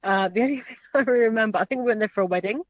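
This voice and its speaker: A young woman from the south of England talking through family memories in a relaxed interview, hesitant, with many fillers and laughs. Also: a little high, racing, dry recording. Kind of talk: casual reflective conversation. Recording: dull lo-fi sound.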